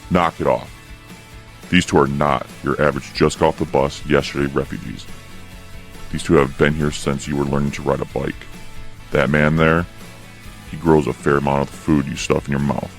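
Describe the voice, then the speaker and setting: An actor playing a stern, protective camp guard in a post-apocalyptic audio drama, speaking with measured authority. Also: male voice, little pitch movement, soft background music. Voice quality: very deep, gravelly. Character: gruff protective camp guard, firm friend to refugees.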